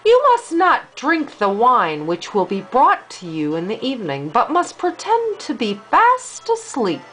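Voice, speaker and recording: Slow-talking kindly old woman voiced by a woman. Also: fairly high pitch, huge pitch swings, fairly bright tone, faint music behind, exaggerated voice, poor audio. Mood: advising. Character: kindly old woman giving cautionary advice.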